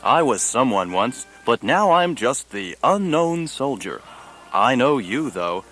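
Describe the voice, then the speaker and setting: An actor in a satirical radio play voicing the wry, lonely ghost of an unknown soldier, confiding directly in the listener. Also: male voice, mid-range voice, big pitch swings, takes it slowly, fairly bright tone, faint music behind, full character voice.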